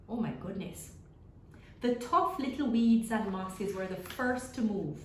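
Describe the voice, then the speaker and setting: A woman reading a children's story aloud expressively, pausing to put simple questions to her young listeners. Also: mid-range voice, reverberant, a little hiss or hum. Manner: asks simple, repeated questions as to small children.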